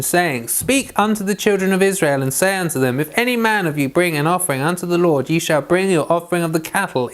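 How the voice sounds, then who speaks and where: A man leading an informal Bible read-along, reading scripture aloud and giving chatty devotional commentary. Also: fairly high pitch.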